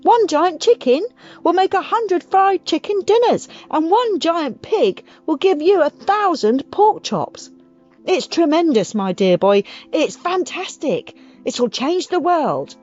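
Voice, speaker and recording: A woman voicing a wildly excited, impatient father with grand schemes in a children's audiobook, emphatic and urgent. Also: very high voice, slow, voice pushed up, full character voice. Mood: exuberant. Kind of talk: audiobook character voice for children's story. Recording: muffled audio with music behind.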